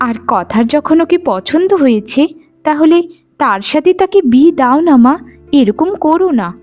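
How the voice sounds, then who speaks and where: A woman voicing a gentle, obedient young twin bride in a cartoon, meek and deferential. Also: high voice, animated, normal speed, soft background music. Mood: pleading. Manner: meek, soft-hearted, deferential. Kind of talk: animated moral-tale voice acting.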